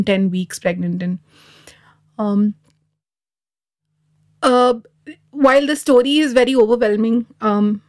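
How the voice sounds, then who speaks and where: Candid woman telling her own story. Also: mid-range voice. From Dubai. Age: 30s. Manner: candid, reflective. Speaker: podcast guest, artist. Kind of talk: personal storytelling in an interview.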